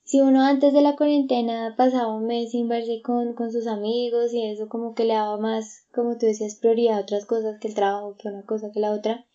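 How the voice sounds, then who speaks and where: A woman's voice: fairly high pitch, little pitch movement, brisk, hardly any pauses, mellow. Reflective young woman, dull audio. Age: 20s. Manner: reflective, many fillers and restarts. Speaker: guest. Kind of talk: casual conversation.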